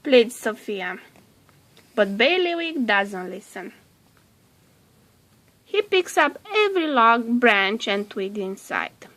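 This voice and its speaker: Casting: female storybook narrator, bright tone, unhurried and measured delivery. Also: on the high side, sing-song, rough recording. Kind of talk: scripted children's storybook read-aloud.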